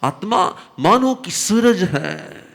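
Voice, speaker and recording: A man teaching a religious discourse, his voice slightly hoarse, explaining slowly with repetition and emphatic rises. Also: high-pitched, animated, fairly bright tone. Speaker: Jain spiritual teacher.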